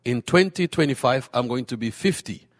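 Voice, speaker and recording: Earnest halting pastor in a big hall. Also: male voice, mid-range voice, lively intonation. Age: late 30s. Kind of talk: panel discussion answer. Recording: hall reverb.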